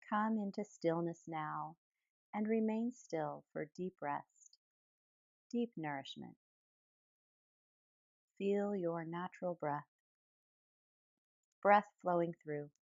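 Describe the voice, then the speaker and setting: A woman guiding a yoga nidra meditation in calm, gentle phrases with pauses between them. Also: fairly low pitch, creaky, dry recording, highs cut off. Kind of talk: guided relaxation meditation, soothing instruction.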